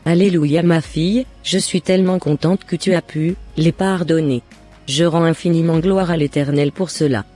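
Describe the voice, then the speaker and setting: A man's voice: a little high, fairly even intonation, moderate pace, mellow, close to an everyday voice. A man voicing a devout elderly mother in a cartoon, speaking in a pious, formal way. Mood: relieved, devout. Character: devout, relieved elderly mother of grown daughters. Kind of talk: scripted cartoon dialogue. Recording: music under the voice.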